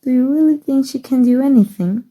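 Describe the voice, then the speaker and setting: Woman acting a very dark, soft-voiced fairy-tale princess. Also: high-pitched, brisk, husky, rough recording. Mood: playful, teasing. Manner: soft, teasing, courtly phrasing. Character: kind, playful young princess in love, regally confident. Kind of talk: romantic ASMR roleplay, fairy-tale storybook acting.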